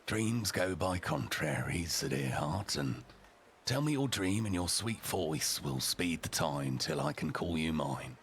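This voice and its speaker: A man voicing a suave, sinister bridegroom in a storybook tale, with a gravelly voice. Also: rumbling low, lively intonation, slow pace, slight background noise, exaggerated voice.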